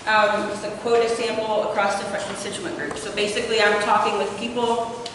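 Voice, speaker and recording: A woman's voice: mid-range voice, little pitch movement, brisk, recorded from a distance. A young woman with an Appalachian accent giving an informal academic presentation in a lecture room, with plenty of filler hesitations. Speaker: doctoral student presenting research. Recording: echoey hall taken from afar with noise.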